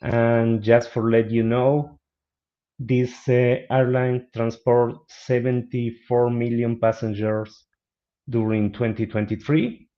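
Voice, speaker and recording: Slow Argentine-accented man on dull call audio. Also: medium pitch, flat delivery. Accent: Argentine Spanish. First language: Spanish. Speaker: guest speaker, business analyst. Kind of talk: webinar presentation.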